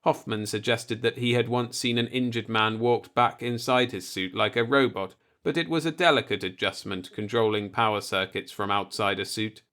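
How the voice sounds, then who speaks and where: A man's voice: lowish voice, fairly even intonation, moderate pace, hardly any pauses, a bit bright. A man reading a short story aloud as a scripted audiobook narration.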